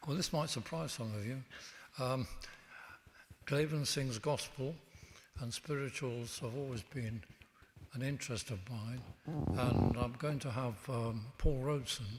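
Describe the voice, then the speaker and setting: A man speaking as an interview guest in a church, reflective and halting, with frequent restarts. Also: mid-range voice, a little hiss or hum. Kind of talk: reflective conversation. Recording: long church reverb.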